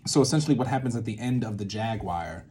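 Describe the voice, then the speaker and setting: A young man explaining in a casual, halting way, thinking aloud and often repeating and checking himself. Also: lowish voice, lively intonation, dark tone, husky. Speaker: creator teaching a creative method.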